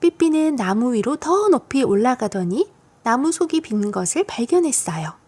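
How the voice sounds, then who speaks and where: Female children's audiobook narrator: high, very expressive intonation, slightly breathy-husky texture. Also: normal speed. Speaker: storybook narrator.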